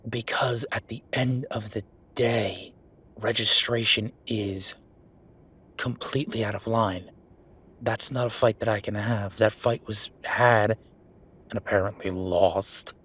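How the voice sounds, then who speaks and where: Husky, low-voiced, exasperated werewolf official played by a man. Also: steady tone, fairly bright tone, heavily acted. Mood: frustrated, defiant. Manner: weary, sardonic, conversational with emphatic pauses. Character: exasperated werewolf official venting to his partner. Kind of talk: roleplay audio drama monologue.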